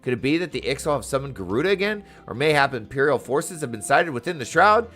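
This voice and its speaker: Man playing anxious, animated fantasy receptionist woman. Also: mid-range voice, fast talker, voice pushed up. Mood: worried. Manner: worried, formal.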